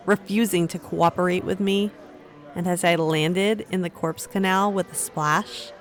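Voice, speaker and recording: A woman's voice: fairly low pitch, natural voice. A woman playing a resilient young woman who recounts her ordeal in a fantasy audio drama. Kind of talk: first-person storytelling in an audio drama.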